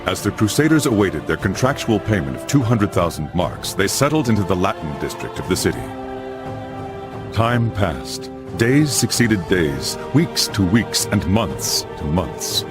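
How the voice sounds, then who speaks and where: An actor playing a solemn father who recounts his son's crusade as a game's narrator, in a grave, measured dramatic style. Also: deep voice, sing-song, music in the background.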